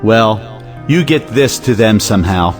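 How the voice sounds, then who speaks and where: An actor playing a blustering, impatient, wealthy head of the family in an audio play, brusque and emphatic. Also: male voice, on the low side, lively intonation, measured pace, faint music behind. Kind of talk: stage play audiobook acting.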